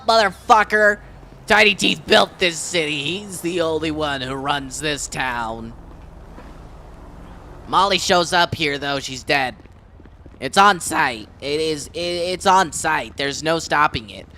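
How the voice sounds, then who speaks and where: A menacing boss who claims the whole town, as a man puts him on speaks with a strained, throaty voice pitched up high. His tone is bright and hard. He makes gruff, boastful threats, with faint noise behind him. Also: unhurried.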